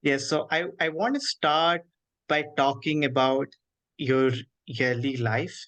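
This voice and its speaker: A man with an Indian accent conducts a scholarly interview. His pitch sits in the middle of the male range, and he builds his questions formally, in short, deliberate pieces with frequent breaks. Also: fairly bright tone. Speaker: interviewer, host.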